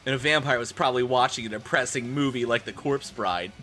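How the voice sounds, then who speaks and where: A man doing comedic live-stream commentary and dramatic reading in an animated, theatrical, profane manner. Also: medium pitch, a little fast, faint music behind.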